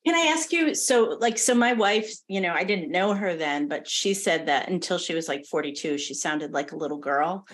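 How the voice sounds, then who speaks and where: A curious woman hosts a casual interview and asks one question after another. Her voice sits slightly low for a woman. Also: expressive, normal speed, few pauses. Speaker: podcast host.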